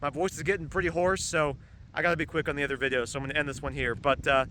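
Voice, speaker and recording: Casual vlogger, male, somewhat high-pitched, loose and filler-heavy, recorded outdoors with heavy wind rumble on the mic. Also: steady tone. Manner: rambling, halting with frequent ums and uhs. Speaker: car parts reviewer. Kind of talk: casual product review vlog. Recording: loud wind noise on the mic. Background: gusting wind.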